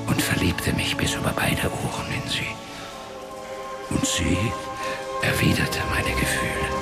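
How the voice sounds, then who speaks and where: An actor playing a grave, ancient warrior-mage father in a radio play, speaking solemnly and with measure in a breathy, gravelly voice. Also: deep voice, full character voice. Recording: music under the voice.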